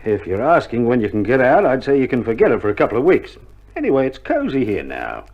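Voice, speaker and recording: A man's voice: medium pitch, wildly swooping pitch, fast. An actor playing a wry, reassuring Australian army male nurse in a wartime radio drama, with laconic, joking banter, heard on an old vinyl record. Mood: soothing, wry. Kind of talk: 1960s radio drama acting. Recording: old vinyl recording, dull band, faint noise.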